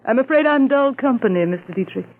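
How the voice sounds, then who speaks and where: A nervous young secretary who presses her lover with worried questions. Her high voice swoops about with a gravelly, breathy edge, heard through thin, tinny old radio sound. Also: lively intonation, fast. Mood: demure. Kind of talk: 1940s radio acting.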